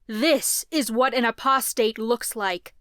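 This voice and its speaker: A woman voicing a zealous man proclaiming loudly to a crowd. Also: on the high side, slow pace, smooth, no echo, clean studio sound. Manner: loud, proclaiming.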